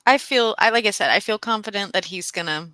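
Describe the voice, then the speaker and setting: A middle-aged woman co-hosting a livestream, chatting casually in a halting, personal storytelling way. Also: medium pitch, pushed and bright, dry recording. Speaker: co-host of a book readalong livestream.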